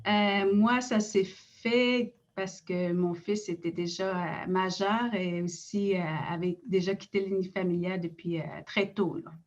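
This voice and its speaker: Innu woman speaking Quebec French, measured. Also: mid-range voice, fairly even intonation, dull audio. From Innu community in Quebec. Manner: measured, with frequent hesitations. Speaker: director of an Innu cultural institute. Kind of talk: reading a prepared personal account.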